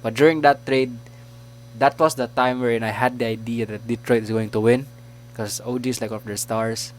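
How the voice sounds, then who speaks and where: Young man, Filipino accent, podcast host, casual chat, laughs often, middle pitch. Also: steady tone, brisk, slight background noise. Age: about 20. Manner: chatty, laughs often, trails off.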